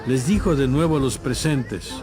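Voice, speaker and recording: A man's voice: mid-range voice, lively intonation, normal speed. A man reading scripted, dramatized Bible narration. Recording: music bed behind narration.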